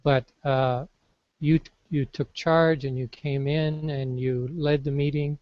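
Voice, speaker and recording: A man interviewing a guest, speaking in an unhurried, hesitant way with fillers. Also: a little high, level tone, dry recording, highs cut off. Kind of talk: conversational interview.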